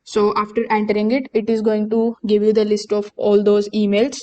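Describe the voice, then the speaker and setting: A woman giving a steady, step-by-step software walkthrough as a voice-over, with occasional fillers. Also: medium pitch, normal speed. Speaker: software tutorial presenter. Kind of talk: screen-recorded software walkthrough.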